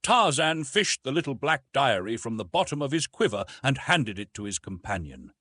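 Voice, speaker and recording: A man's voice: mid-range voice, very animated, moderate pace, pushed and bright, slightly rough, dry recording, clean studio sound. A man giving scripted audiobook narration of a classic adventure novel.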